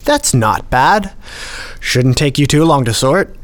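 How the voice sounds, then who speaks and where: An elderly gentleman collector , played by a man, speaks in a medium-pitched voice. He is courteous and contented, with an unhurried, matter-of-fact way of explaining things, as in a radio play. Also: sing-song. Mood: amiable, quietly proud.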